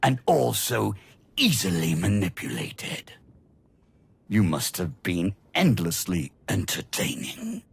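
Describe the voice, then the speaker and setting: An actor playing an ancient, evil emperor spirit in a video game, scornful and theatrical, with a gravelly rasp. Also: male voice, lowish voice, huge pitch swings, exaggerated voice. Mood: mocking. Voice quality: gravelly, raspy. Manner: slow, theatrical, contemptuous. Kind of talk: video game villain acting.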